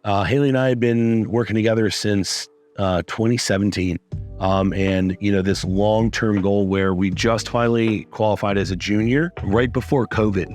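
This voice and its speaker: A man with a low, raspy voice recaps his athletes' results in a loose, upbeat vlog. He swears freely and leans on fillers, and soft music sits faintly behind him. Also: steady tone, moderate pace. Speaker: strength coach.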